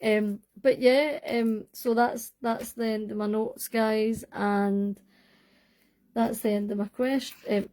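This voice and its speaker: A woman's voice: a little high, steady tone, takes it slowly, dark tone. A young woman with a Scottish accent, hosting a casual livestream from home, chatting in a rambling way with lots of fillers and self-corrections while answering viewers' comments. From Scotland. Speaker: livestream host. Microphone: phone.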